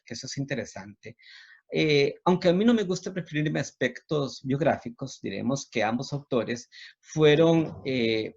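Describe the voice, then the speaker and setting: A man's voice: mid-range voice, husky, highs cut off. A Costa Rican man with a didactic manner, speaking as a university lecturer presenting on literature. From Costa Rica.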